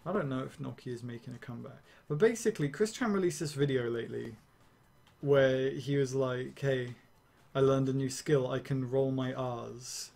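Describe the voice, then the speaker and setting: A young man streaming a game, rambling and ranting casually with swearing and quick laughs. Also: medium pitch, steady tone, creaky, a little mellow. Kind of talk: casual gameplay commentary.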